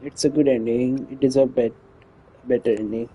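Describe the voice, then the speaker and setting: A man's voice: mid-range voice, steady tone, normal speed, mellow, slightly rough, dull audio. A man with an Indian accent, a native Hindi speaker, giving casual live commentary as he streams a game.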